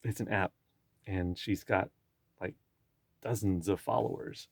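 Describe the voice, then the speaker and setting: A young man with Chinese as his first language, hosting a casual conversation and talking in halting phrases with many restarts and fillers. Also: deep voice, steady tone, gravelly, slightly rough, dry recording, studio quality. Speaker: podcast host. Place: indoor room with a field recorder. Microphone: field recorder.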